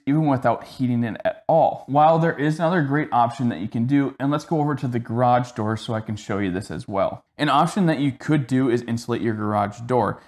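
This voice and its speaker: A young man giving an informal explainer to camera as the host of a how-to video, recorded in a garage. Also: mid-range voice. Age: young adult. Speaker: host of a home gym tips video. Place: indoors in a garage converted to a gym.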